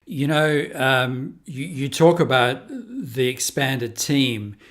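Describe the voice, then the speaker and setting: A man's voice: mid-range voice, expressive, slow pace, fairly bright tone. A man interviewing a guest in a conversational style, putting questions and remarks to them.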